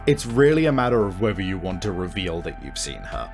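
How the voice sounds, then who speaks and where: Male game master, lower register, conversational improvised storytelling aimed straight at a player. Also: sing-song, brisk, soft background music. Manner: descriptive, conversational, addressing the player directly. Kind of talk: improvised tabletop game narration.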